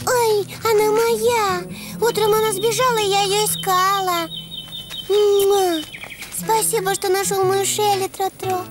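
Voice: level tone, a little slow. An actor voicing a sweet, sassy little girl donkey with a girlish voice in a preschool cartoon. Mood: relieved, affectionate. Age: young child character. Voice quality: extremely high, girlish. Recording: loud music behind the voice.

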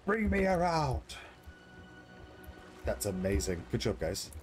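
A man's voice: medium pitch, sing-song, slow, soft background music. A man in his thirties with an easygoing, agreeable manner, chatting casually as he streams a game. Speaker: streamer playing a pirate game. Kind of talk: casual gaming chat. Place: small indoor room, streaming setup.

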